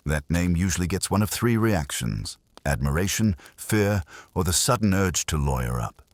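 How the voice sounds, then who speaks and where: Very deep male voice, lively pitch, wry hard-boiled detective narration, dry close sound. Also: normal speed, dry recording. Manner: wry, dry-witted storytelling.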